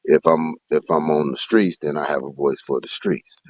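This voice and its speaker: A man talking casually as co-host, relaxed and agreeable, often saying "you know what I'm saying.". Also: extremely low pitch, moderate pace, dry recording, dull audio. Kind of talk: casual chat.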